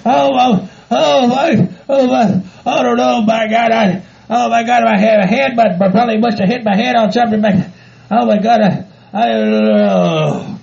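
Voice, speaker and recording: A woman's voice: fairly high pitch, lively intonation, normal speed, gravelly, darkish tone. A woman voicing a short-tempered rancher husband who curses and sounds groggy and dazed. The recording sounds dull and lo-fi. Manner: groggy, cursing, slurring. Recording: dull lo-fi audio.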